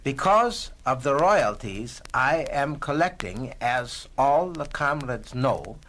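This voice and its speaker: Casting: male actor as elderly devoted professor-comrade, very unhurried, solemn, with expressive rises and falls. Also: mid-range voice, a little hiss or hum. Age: older. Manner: solemn, formal, deliberate. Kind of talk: 1960s dramatized speech.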